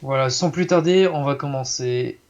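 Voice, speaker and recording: A man's voice: mid-range voice, normal speed, crowd noise. A young man co-hosting a live stream in casual conversation. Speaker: streamer, co-host. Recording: slightly muffled, dull microphone.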